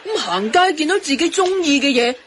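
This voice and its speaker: A woman's voice: high-pitched, animated, a little fast. A woman playing a breezy, teasing colleague with a Hong Kong Cantonese accent in a radio drama. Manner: teasing, mixes in English words. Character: breezy, teasing female colleague who loves shopping. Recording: faint background noise.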